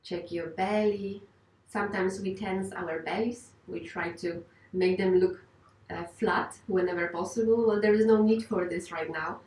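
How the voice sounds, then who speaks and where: A woman teaching yoga and guided meditation, speaking in a gentle, unhurried, reassuring way. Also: mid-range voice. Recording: roomy, distant microphone.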